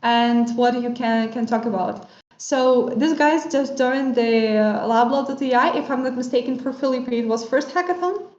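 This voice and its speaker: A woman's voice: a little high, moderate pace, hardly any pauses, a little mellow. A woman hosting a casual interview over a video call, friendly and searching for words, with slightly non-native phrasing. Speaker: interview host. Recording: echoey, muffled video-call audio.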